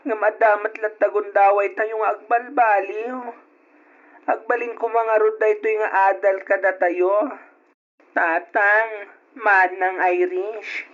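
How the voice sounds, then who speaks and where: A man's voice: sits high, flat delivery, takes it slowly, a bit bright. A man playing a devoted, earnest young son in an old-style radio drama. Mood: earnest, hopeful. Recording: dull lo-fi radio drama audio.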